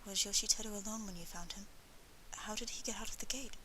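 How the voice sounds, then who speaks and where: A woman narrator puts on a noble lady for an audiobook. She is a formal, soft-spoken mother, anxious yet firm, heard at a middle pitch with faint hiss behind her. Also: steady tone, fast, lightly acted, poor audio. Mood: worried. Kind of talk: audiobook character voice.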